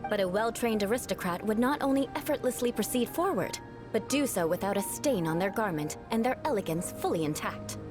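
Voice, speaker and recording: A woman's voice: mid-range voice, animated, a little fast, natural voice. A woman voicing a proud, composed young aristocrat in a video game, coaching others in a formal, faintly condescending way. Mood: instructive. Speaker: trainer. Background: game music.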